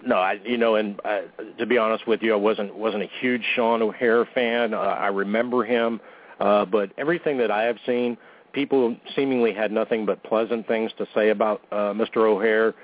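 A man's voice: lowish voice, fairly even intonation, normal speed. A man hosting a wrestling talk show, joking around and reading plugs in a goofy, self-deprecating way. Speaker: podcast host. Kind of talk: casual radio-show banter and announcements. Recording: tinny phone-like line.

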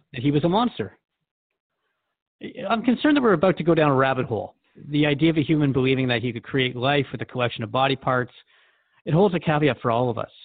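Man acting as curious radio host, creaky voice, close dry sound, thoughtful, conversational, mildly skeptical, radio drama. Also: a little high, dry recording, phone-quality sound. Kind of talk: scripted radio drama interview.

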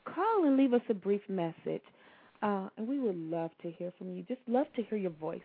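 A woman's voice: medium pitch, big pitch swings, breathy edge. A woman hosting a call-in radio talk show, chatting easily with her guests and laughing often. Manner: chatty, laughs easily, slows to read out numbers. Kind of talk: casual call-in radio chat. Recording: tinny phone line.

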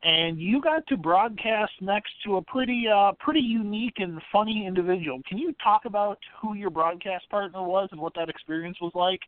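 A man's voice: sits high, normal speed, hardly any pauses, no echo. A man hosting a sports podcast, putting conversational questions to his guest. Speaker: podcast host, interviewer. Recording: tinny phone-like band.

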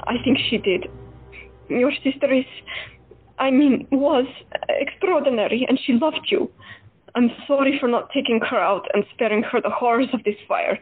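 A woman plays a compassionate doctor in an audio drama, speaking softly and earnestly. Her voice sits fairly high and is a little husky. The sound is tinny and phone-like, with faint music behind. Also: normal speed. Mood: consoling. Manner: soft, earnest, reassuring. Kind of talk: amateur audio drama acting.

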